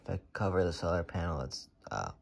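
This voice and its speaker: A man with a low voice chats as a review co-host. He is laconic and a little halting, adding short, unscripted remarks in a casual way. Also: expressive, vocal fry, husky. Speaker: co-host of a product review channel.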